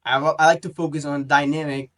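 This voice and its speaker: A man, hosting casual video chats in English, friendly and quick to laugh. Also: a little high, flat delivery, a bit bright. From Brazil. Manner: friendly, laughs easily, self-taught learner talking fluently. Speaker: host chatting with strangers online.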